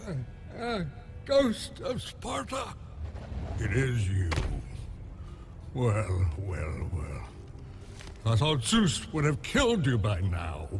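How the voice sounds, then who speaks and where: A man playing an embittered, tormented giant smith god in a video game, with a gravelly, brooding, theatrical delivery. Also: mid-range voice, huge pitch swings, extremely slow, long pauses, background noise, heavily acted. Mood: wry, bitter. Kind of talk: dramatic video game acting.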